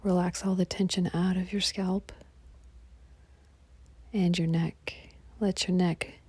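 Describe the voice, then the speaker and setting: A woman guiding a relaxation meditation in a soft, breathy, half-whispered, soothing delivery. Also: lowish voice, little pitch movement, slow pace, no echo. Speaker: yoga nidra meditation guide.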